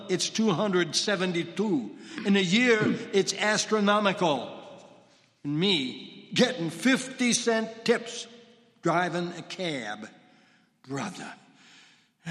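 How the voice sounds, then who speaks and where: An actor with a Brooklyn accent playing a gruff, complaining middle-aged cab driver on a church stage. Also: male voice, high-pitched, a bit bright, exaggerated voice. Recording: echoey hall.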